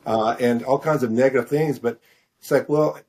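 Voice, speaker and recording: A middle-aged or older man answering as a guest author in an interview, speaking hesitantly and haltingly, often clearing his throat. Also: medium pitch, a little mellow. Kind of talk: interview conversation.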